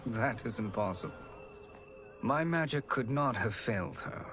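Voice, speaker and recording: Game role: male actor as cunning quack sorcerer, formal, smooth-talking, unhurried, with music underneath. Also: mid-range voice, expressive, close to an everyday voice. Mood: defensive salesmanship. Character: sly kindly-old-man swindler selling fake magic cures. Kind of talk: dramatic video game acting.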